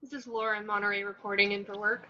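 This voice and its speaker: A woman playing a gentle, guarded young crisis-line counsellor in an amateur table reading, recorded in dull lo-fi sound. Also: mid-range voice, flat delivery, quick pace, lightly acted. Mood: calm, professional.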